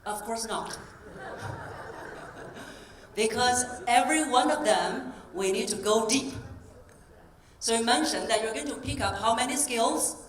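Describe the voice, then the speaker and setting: A woman voice coach giving an energetic keynote talk, coaxing the audience through exercises on stage. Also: on the high side, measured pace, long pauses. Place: large conference hall on stage. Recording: roomy hall, distant mic, faint ambience.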